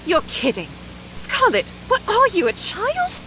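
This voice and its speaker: A woman's voice: very high voice, huge pitch swings, a little slow, sharp tone, slight background noise, full character voice, rough recording. A woman voice-acting a proper, saintly young woman in a video game, earnest, polite and precise in her way of speaking. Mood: scolding.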